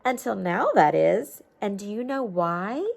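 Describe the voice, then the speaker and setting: A woman voicing wise bumblebee parents in a children's storybook, giving gentle, emphatic encouragement. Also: mid-range voice, very animated, unhurried, gravelly, exaggerated voice. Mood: reassuring.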